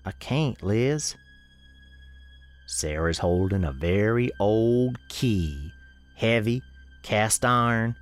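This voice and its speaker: A man playing a grave, folksy storekeeper, narrating an eerie radio drama in a measured, ominous way. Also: lowish voice, expressive, soft background music.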